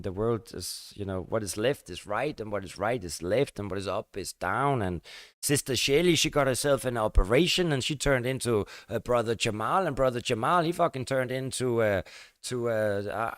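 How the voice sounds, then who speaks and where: An older man from Denmark, rambling and halting through a casual live-stream chat with his viewers. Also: fairly low pitch, dry recording, clean studio sound. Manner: halting and rambling, many fillers and restarts.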